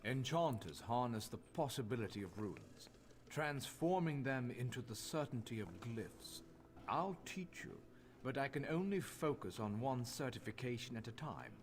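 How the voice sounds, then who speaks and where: An actor playing a learned master crafter in a fantasy game, speaking in a formal, measured, instructive manner. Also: male voice, mid-range voice, sing-song, a crowd in the background. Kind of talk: scripted fantasy game dialogue. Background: game music.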